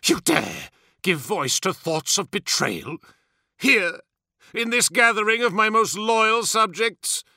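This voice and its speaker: Vain warlord tyrant, raised pitch, forceful edge, theatrical snarling, wrathful audiobook villain. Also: male voice, big pitch swings, slow, dry recording, studio quality, heavily acted. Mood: menacing scorn. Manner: theatrical, spitting, snarling outbursts. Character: imperious, vain, wrathful superhuman warlord, swinging to wounded misery. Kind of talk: dramatic audiobook character voice.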